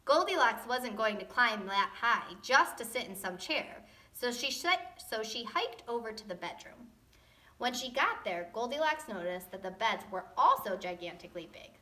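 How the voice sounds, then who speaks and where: A woman reading a storybook aloud to children in an expressive, playful, tongue-in-cheek way. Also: on the high side, normal speed.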